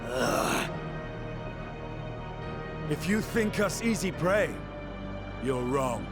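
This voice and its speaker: A man's voice: fairly high pitch, animated, very slow, over loud music. A man playing a proud male dragon in a fantasy game, speaking in a grave, formal, archaic manner. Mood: defiant. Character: proud, formal male dragon seeking his lost sister. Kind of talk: scripted video game voice acting.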